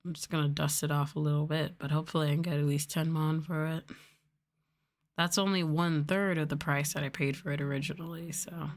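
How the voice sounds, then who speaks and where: A woman chatting softly as an ASMR livestream host, sometimes trailing off toward a whisper. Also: low-pitched, steady tone, fairly quick. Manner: softly spoken, now and then trailing into whispers. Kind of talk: soft-spoken ASMR livestream chat.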